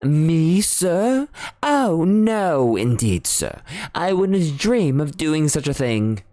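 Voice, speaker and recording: A man voicing a deferential, anxious male butler in a high, fluttery, shocked voice for an audiobook reading. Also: big pitch swings, slow, warm tone. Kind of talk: audiobook character voice.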